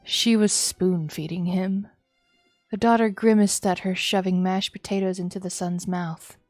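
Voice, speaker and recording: A woman streamer reading a visual novel aloud as expressive, dramatic narration. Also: lowish voice, measured pace, no echo, studio quality. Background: music.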